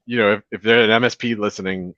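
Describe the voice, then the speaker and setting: A man hosting a casual video-call interview from a beach house, relaxed and chatty with filler words. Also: on the low side, fast, no echo. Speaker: show host. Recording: dry, dull lo-fi audio.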